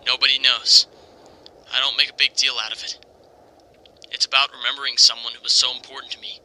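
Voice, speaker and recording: An actor playing a tender, grieving young male superhero in a comic dub, speaking in an earnest, halting, reflective way. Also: mid-range voice, level tone, pushed and bright, rough recording. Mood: wistful, grieving. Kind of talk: comic dub, dramatic monologue. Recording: music under the voice. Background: music.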